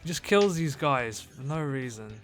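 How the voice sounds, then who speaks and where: A man's voice: mid-range voice, lively intonation, normal speed, fairly bright tone. A man hosting a podcast in casual chat, joking in a mock-earnest, sweary way. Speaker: podcast host. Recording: music playing behind the voice.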